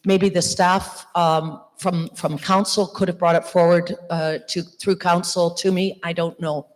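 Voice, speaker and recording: A woman candidate answering an audience question at a public meeting, speaking earnestly with some halting restarts. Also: lowish voice, little pitch movement. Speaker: election candidate. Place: church hall. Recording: long reverb of a hall.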